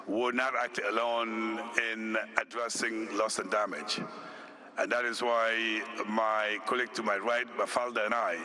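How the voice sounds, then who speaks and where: A man from Senegal, an executive director, giving a formal conference speech in a deliberate, measured way, listing his points one by one. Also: fairly low pitch, background noise. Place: conference hall.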